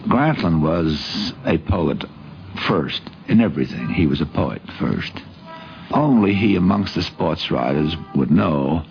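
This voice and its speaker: Gravelly, slow-talking man on old radio. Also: sits low. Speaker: interviewee recalling a late friend. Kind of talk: reminiscing in a radio documentary. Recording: muffled, noisy 1950s radio recording.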